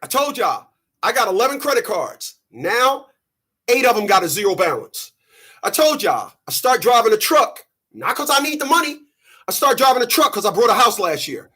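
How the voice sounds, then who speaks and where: A man in his thirties, sweaty after a run, giving an animated, preachy monologue to his channel's viewers. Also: extremely high pitch, normal speed, slightly rough. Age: 30s. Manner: animated, preachy, mocking quoted voices. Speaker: channel host. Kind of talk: casual monologue to camera.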